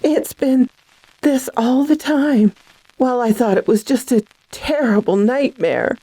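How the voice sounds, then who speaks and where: A woman's voice: on the high side, measured pace, dark tone, no room sound, rough recording. An actress playing a frightened, bewildered, fragile lady in a radio melodrama, hesitant and breathless. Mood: dazed, pleading. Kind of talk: classic radio drama acting.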